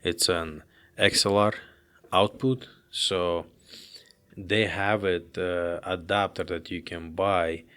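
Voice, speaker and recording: A young man with a very low, deep voice talks in an even, barely rising tone. His delivery is loose and chatty, full of restarts and plain phrasing, like an unscripted gear review vlog. He is recorded up close on a handheld reporter mic in a small office room. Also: takes it slowly, clear, resonant tone. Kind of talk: casual product review vlog. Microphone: handheld reporter mic and lavalier, on-camera framing.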